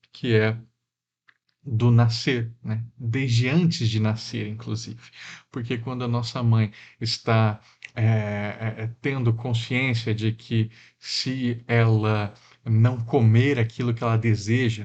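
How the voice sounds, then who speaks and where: Unhurried male lecturer, dull lo-fi sound. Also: medium pitch, a little mellow. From Mato Grosso do Sul, Brazil. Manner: reflective, unhurried, frequent fillers and tag questions. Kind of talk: recorded lecture.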